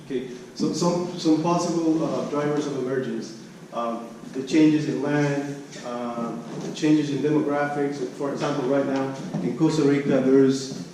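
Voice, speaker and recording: A man's voice: mid-range voice, fairly even intonation, warm tone. Echoey, distant man giving an academic talk. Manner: halting delivery, repeats and restarts phrases. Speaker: researcher presenting at a conference. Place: conference room. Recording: echoey room, distant mic, background noise.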